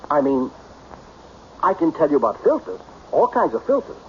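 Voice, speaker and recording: Old radio drama: self-made tycoon, brooding, blunt, self-pitying, very animated pitch, dull broadcast sound. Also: male voice, moderate pace, a little hiss or hum. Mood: bitter, dejected. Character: lonely self-made millionaire businessman, melancholy, brusque, self-pitying.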